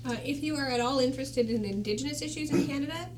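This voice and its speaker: A woman's voice: high-pitched, fast, a little mellow, slight background noise. A woman speaking casually as a panelist in a group chat. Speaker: discussion panelist.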